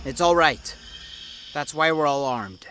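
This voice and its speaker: A man's voice: a little high, animated, measured pace, pushed and bright, slight background noise, low-quality recording. A man playing an armed ex-police officer leading survivors through a jungle in an audio drama, urgent and commanding. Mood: firm, reassuring.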